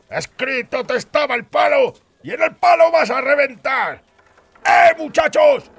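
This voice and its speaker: An actor playing a cruel, raging bandit chief in an audiobook, crude and threatening, with a croaking, raspy voice. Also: male voice, extremely high pitch, a bit bright, heavily acted. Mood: furious gloating. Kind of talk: dramatised audiobook acting.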